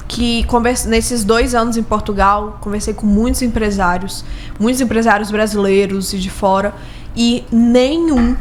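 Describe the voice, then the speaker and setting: A woman's voice: mid-range voice, a little hiss or hum. A Brazilian woman speaking casually as an interview guest, emphatic and repeating key words for stress. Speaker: podcast guest, business consultant.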